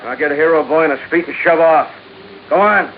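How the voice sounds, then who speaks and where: A man acts a cocky radio-drama gangster, sneering and slangy, with a fairly high voice. The old recording is thin and tinny, faintly noisy. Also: fairly quick, close to an everyday voice. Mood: scornful. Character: sneering, greedy hoodlum, bullying and cocky. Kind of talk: 1950s radio crime drama acting.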